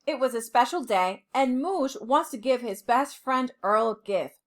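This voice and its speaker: Female storybook reader for young children; high, very animated, deliberate with dramatic pauses. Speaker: teacher reading a storybook to children. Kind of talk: expressive children's storybook read-aloud.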